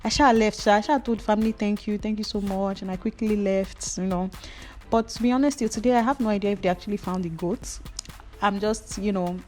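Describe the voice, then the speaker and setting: A young Nigerian woman with a Nigerian accent tells a story playfully while she talks a viewer through a hair tutorial. Her voice sits in a middle pitch. She moves between slow, careful instructions and quick, gossipy asides, with faint music under her voice. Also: fairly even intonation, a little mellow. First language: Yoruba.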